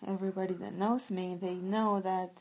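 A woman's voice: medium pitch, little pitch movement, moderate pace, darkish tone. A woman from El Salvador, a guest speaking reflectively and searching thoughtfully for her words. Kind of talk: reflective conversation.

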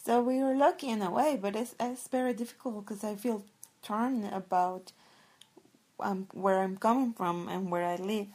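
A woman's voice: mid-range voice, moderate pace, a little mellow. A woman from El Salvador, a guest speaking reflectively and searching thoughtfully for her words. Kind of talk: reflective conversation.

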